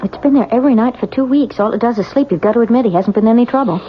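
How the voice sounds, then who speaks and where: An actress playing a stubborn, insistent wife in a 1970s radio drama, in an emotive style. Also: female voice, fairly high pitch, racing, slight background noise, natural voice. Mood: calm, dismissive. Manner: emotive, melodramatic. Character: stubborn wife, grown woman, insistent and soothing. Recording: muffled vintage radio recording with music.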